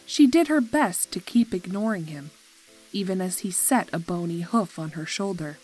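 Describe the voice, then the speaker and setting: A woman's voice: lowish voice, expressive, normal speed, darkish tone, rough recording. A woman reading scripted story narration as the narrator. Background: music.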